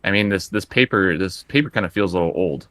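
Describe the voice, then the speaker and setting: A man's voice: low voice, fast talker, a bit bright, no room sound. A man playing a tabletop roleplaying game, speaking conversationally and hesitantly, often repeating words. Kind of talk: improvised in-character tabletop play, conversational.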